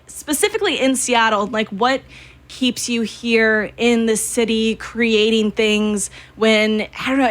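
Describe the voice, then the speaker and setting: A woman's voice: on the high side, a bit bright. A woman hosting a conversational radio interview.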